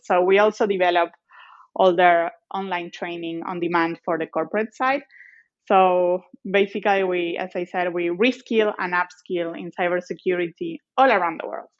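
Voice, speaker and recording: A woman from Argentina with an Argentine accent, giving measured, explanatory interview answers as a guest. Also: lowish voice, fairly even intonation. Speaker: guest, company executive. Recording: slightly dull lo-fi audio.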